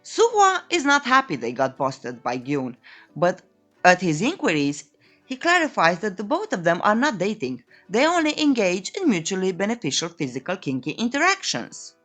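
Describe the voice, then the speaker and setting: Animated woman narrator with swooping pitch. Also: moderate pace. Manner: playful, tongue-in-cheek, theatrical storytelling with flowery euphemisms. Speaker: recap narrator. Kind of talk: scripted comedic story recap narration. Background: background music.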